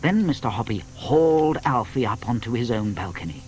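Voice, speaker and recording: A man narrating a children's story from a script, with deliberate emphasis. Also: mid-range voice, expressive, slight background noise.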